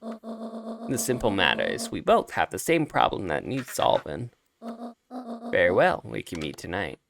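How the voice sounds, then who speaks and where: A man voicing a brisk, businesslike elderly grandmother in a video game, reading the dialogue in a measured way. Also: lowish voice, huge pitch swings, vocal fry, music in the background, pitched below the performer's own voice, heavily acted. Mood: secretive, businesslike.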